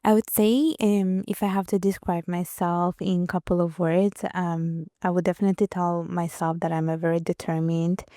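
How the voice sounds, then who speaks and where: A woman's voice: lowish voice, flat delivery, moderate pace, few pauses, dark tone, dry recording, clean studio sound. A young adult woman from Italy, a guest author speaking reflectively in an interview, with many fillers and restarts. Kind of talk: conversational interview.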